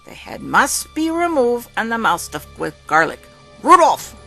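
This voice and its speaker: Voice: medium pitch, sing-song, a little slow, vocal fry, bright, forceful tone, faint music behind, pitched above the performer's own voice, heavily acted. A reader voicing a stern, domineering older father who speaks broken English. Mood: commanding.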